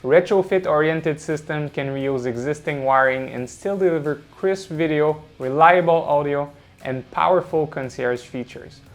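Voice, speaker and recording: A man with a slightly high-pitched voice reads scripted narration for a corporate explainer video. His delivery is measured and instructional, laying points out in short, listed phrases. Music plays behind him. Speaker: presenter of a product explainer. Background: background music.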